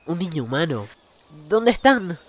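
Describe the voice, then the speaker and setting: A woman's voice: on the low side, big pitch swings, a little slow, very noisy. A woman playing an earnest, impatient young boy hero in a radio drama. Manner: urgent, insistent.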